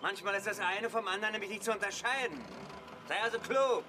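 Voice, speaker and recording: An actor playing a gruff, scornful male stranger in a German audio drama, threatening in manner. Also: high-pitched, brisk, close to an everyday voice. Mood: coolly menacing. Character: gruff, menacing male stranger scolding youngsters. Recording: clear background noise.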